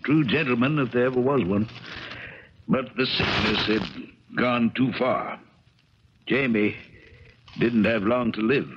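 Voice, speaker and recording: An actor playing a kindly, wistful old recluse in a 1970s radio drama, speaking in a halting, sentimental manner. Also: male voice, medium pitch, close to an everyday voice. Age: older. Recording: dull, crackly 1970s radio recording.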